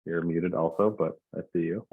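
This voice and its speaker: Deep-voiced man chairing a meeting. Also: moderate pace, breathy edge, no echo. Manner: relaxed, informal, uses many fillers. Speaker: commission chair. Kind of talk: meeting roll call and introductions. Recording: dry tinny phone-like line.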